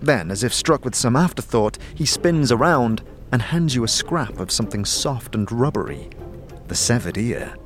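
A man's voice: medium pitch, lively intonation, moderate pace, faint music behind. A man reading scripted fantasy narration dramatically, as the storyteller of an audio drama.

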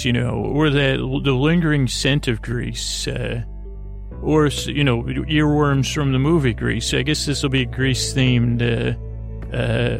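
A man with a creaky voice hosting a bedtime podcast, gently meandering and halting as he keeps interrupting himself. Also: medium pitch. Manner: gentle, lulling. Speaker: podcast host. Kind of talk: rambling bedtime monologue.

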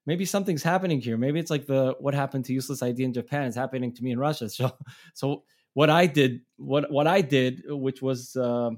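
A man's voice: mid-range voice, a little fast, a little mellow. Rambling Israeli-born musician, storytelling man. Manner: rambling, many restarts and laughs. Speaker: touring musician, guest. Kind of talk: casual storytelling.

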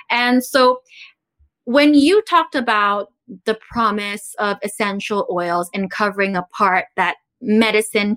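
A woman from Taiwan who grew up in the Philippines, a guest telling personal stories in a lively way and laughing between phrases. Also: medium pitch. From Taiwan, raised in the Philippines. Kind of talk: conversational interview.

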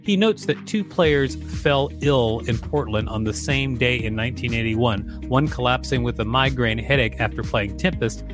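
Man narrating over prominent music. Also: mid-range voice. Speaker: podcast co-host reading an encyclopedia article. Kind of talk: scripted narration with brief casual asides. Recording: music behind the voice. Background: instrumental music.

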